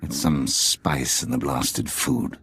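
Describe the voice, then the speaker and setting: Gravelly, low-voiced jaded undercover spy. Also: male voice, slow, a bit bright, slight background noise, exaggerated voice. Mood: bitter, disgusted. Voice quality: gravelly, husky. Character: jaded male Imperial spy posing as alien tea house owner, middle-aged father. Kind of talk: cinematic video game dialogue.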